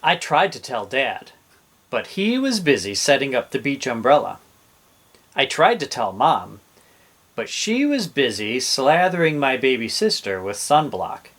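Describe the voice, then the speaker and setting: A man reading a children's story aloud as an expressive storyteller. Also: medium pitch, measured pace.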